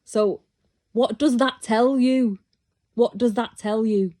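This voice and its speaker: A woman's voice: on the high side, fairly even intonation, slow, warm tone. A British woman talking casually to camera in a rambling opinion monologue, with many restarts, growing emphatic and insistent as she argues. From Britain. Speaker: vlogger.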